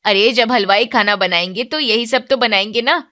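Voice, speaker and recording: A woman's voice: on the high side, moderate pace, bright, forceful tone. A woman voicing a bossy but devoted middle-aged mother of the bride in a cartoon moral story, emphatic and no-nonsense. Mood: scolding.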